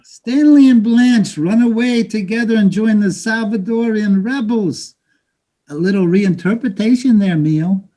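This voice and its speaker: A man's voice: high-pitched, a little slow, dark tone. A man playing a proud, theatrical Salvadoran father of thirty-five in a staged reading, with a grandiose, insistent manner. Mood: boastful. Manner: grandiose, insistent, mixes Spanish into English. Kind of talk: live staged play reading.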